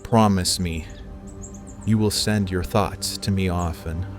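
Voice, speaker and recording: An actor voices a concerned young man in a dramatic audio play. His voice is low and earnest, with a gentle, pleading manner and faint music behind it. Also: fairly even intonation, takes it slowly. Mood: tender.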